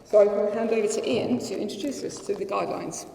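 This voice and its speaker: Woman, panel chair, very dark voice, gravelly, echoey hall from far off. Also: medium pitch, fast talker, a little hiss or hum. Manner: chatty, self-deprecating, frequent fillers. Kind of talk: conference introduction. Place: conference hall.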